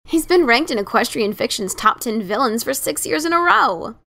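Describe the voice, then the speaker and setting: A brash pony adventurer acted by a woman with a scratchy, gravelly edge. She talks in a cocky, informal, teasing way for a dramatic story reading. Her fairly high pitch swings around freely. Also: lively intonation, normal speed, bright, forceful tone, exaggerated voice. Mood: defensive.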